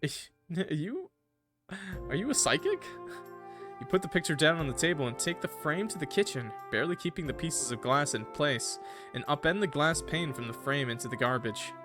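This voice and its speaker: A man streaming a visual novel, reading it aloud expressively with casual, jokey reactions. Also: mid-range voice. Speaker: gaming streamer. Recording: music behind the voice.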